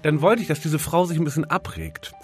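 A man's voice: a little high, wildly swooping pitch, brisk. Congested man telling rambling stories. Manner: rambling, self-mocking anecdotes. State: has a cold, congested. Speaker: radio co-host. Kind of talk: casual radio banter and storytelling. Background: faint music.

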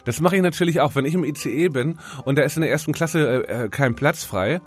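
A man's voice: fairly high pitch, quick pace. A man with a cold co-hosting a radio show, telling rambling, self-mocking anecdotes in casual banter. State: has a cold, congested. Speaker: radio co-host. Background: faint music.

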